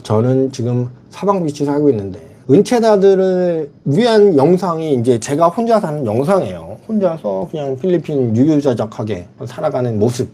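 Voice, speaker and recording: A man's voice: a little high, big pitch swings, moderate pace, hardly any pauses, warm tone. A middle-aged man hosting a casual livestream, answering viewer comments bluntly and frankly. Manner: blunt, reads chat comments aloud and replies frankly. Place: lives in the Philippines.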